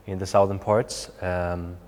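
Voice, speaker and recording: A young man, his voice on the low side. He answers questions haltingly, full of "like" and "you know", restarting his phrases. The studio gives his voice a long, echoey tail. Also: flat delivery, darkish tone. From Sweden. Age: 20s. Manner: hesitant, many fillers like "like" and "you know". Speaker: guest. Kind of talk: interview answers.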